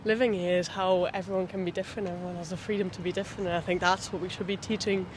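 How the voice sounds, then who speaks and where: A man's voice: sits high, level tone, rapid-fire, noisy background. A man from Belgium answering a street interviewer passionately, often saying "I mean". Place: city street outdoors.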